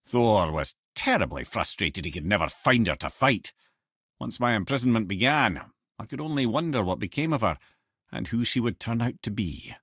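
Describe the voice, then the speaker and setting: An old, learned Scottish advisor from a fantasy video game. His voice is husky and swings expressively up and down as he spins tales with wry, colloquial eloquence. The sound is dry, with no room around it. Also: on the low side, expressive, normal speed, a bit bright, no room sound, heavily acted. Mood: storytelling. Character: witty, learned old severed-head advisor and storyteller. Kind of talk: video game character dialogue and storytelling.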